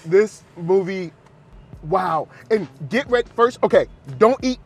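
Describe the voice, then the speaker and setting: A man chatting casually and excitably as a host giving an unscripted film reaction, playful and fond of repeating phrases for emphasis. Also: sits high, unhurried, slightly rough. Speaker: film reviewer and channel host.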